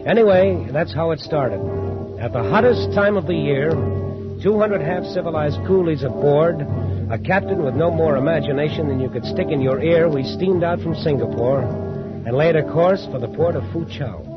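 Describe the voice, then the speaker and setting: An actor playing a nervy, outspoken young ship's first mate in an old-time radio drama. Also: male voice, on the high side, normal speed, loud music behind the voice, lightly acted. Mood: grim narrating. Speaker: actor and narrator in a radio play. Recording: muffled, noisy vintage radio recording.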